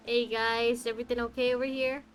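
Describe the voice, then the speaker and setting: A woman streamer with a fairly high voice, talking casually through a game. She is chatty and playful, reacting aloud, with faint game music behind her. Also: flat delivery, fairly quick. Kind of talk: casual live gameplay commentary.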